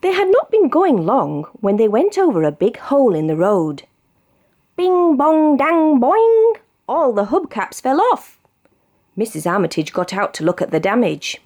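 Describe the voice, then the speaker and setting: A woman with a British accent reading a children's storybook aloud in an expressive narrating style. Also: a little high, measured pace. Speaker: storybook reader.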